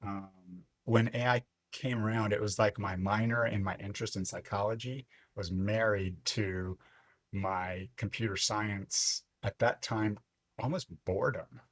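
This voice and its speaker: A man in his fifties, originally from Lebanon, telling his story as a podcast guest in a reflective, unhurried way. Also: on the low side, steady tone, a bit bright, dry recording. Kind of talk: casual interview chat.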